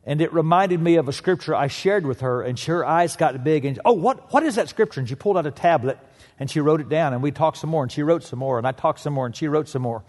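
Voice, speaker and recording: A pastor preaches to his congregation in a fairly high voice, and a long reverb rings around him in a large hall. He speaks in a conversational storytelling way. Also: fast, darkish tone. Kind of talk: sermon.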